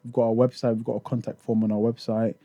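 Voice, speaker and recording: A man's voice: medium pitch, level tone, fast talker, mellow, dry recording. A man raised in the UK, talking casually as a podcast guest about touring in Japan, with many restarts and fillers. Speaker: guest, Japan tour organizer.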